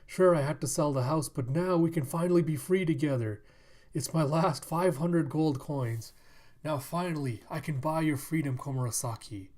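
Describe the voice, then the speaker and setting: A man's voice: a little high, normal speed, a little mellow, close to an everyday voice. A man voicing a lovesick old man who pleads desperately. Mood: triumphant.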